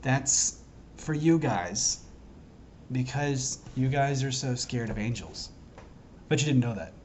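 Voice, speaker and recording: A hoarse man talking to camera in a wandering, self-interrupting, earnest monologue as a self-styled prophet. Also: mid-range voice, animated, slow pace, darkish tone, a little hiss or hum. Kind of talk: rambling solo monologue to camera.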